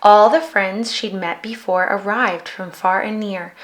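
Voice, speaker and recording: A woman reading a children's picture book aloud as an expressive storyteller. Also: medium pitch, a little slow. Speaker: storybook reader.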